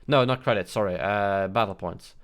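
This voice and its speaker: A man's voice: on the low side, normal speed. A man hosting a gaming news livestream, chatting casually and answering viewers' chat with frequent fillers and self-corrections.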